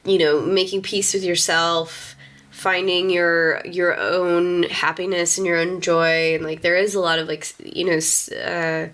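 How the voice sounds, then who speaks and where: A woman's voice: on the low side, level tone, a little slow, fairly bright tone. A woman hosting a podcast in casual, informal chat, with lots of "like" in her phrasing. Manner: informal, peppered with "like". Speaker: podcast host.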